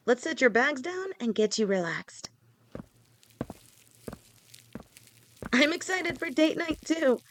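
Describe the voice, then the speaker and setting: A woman playing an affectionate lamia girlfriend in an audio roleplay, her voice swooping up and down with lively expression. She speaks slowly and lingers on her words, teasing with sweet pet names. She stammers and rambles whenever her nerves get the better of her. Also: mid-range voice, slight background noise, lightly acted. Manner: stammers and rambles when nervous. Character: doting, playful adult female lamia girlfriend. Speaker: voice actor. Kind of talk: listener-directed audio roleplay.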